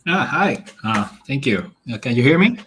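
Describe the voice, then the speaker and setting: A man's voice: medium pitch, big pitch swings, normal speed, fairly bright tone, slight background noise. Dominican-accented man, casual talk-show guest. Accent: Dominican Spanish. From Dominican Republic. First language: Spanish. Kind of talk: casual political discussion.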